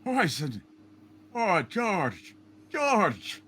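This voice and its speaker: A man acts an irascible, grief-stricken old shopkeeper in a hammed-up radio play. The voice is forceful and slow, pitched high, with barked exclamations. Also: wildly swooping pitch, a little hiss or hum, exaggerated voice. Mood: shaken, remorseful. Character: gruff, grieving, short-tempered drunken druggist, older man.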